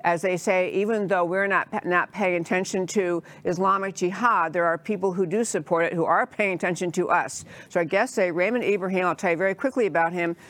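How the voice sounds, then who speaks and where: A woman's voice: on the low side, brisk, few pauses, fairly bright tone. A woman hosting a talk show, introducing her guest with chatty enthusiasm and frequent fillers. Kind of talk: interview show introduction and questions.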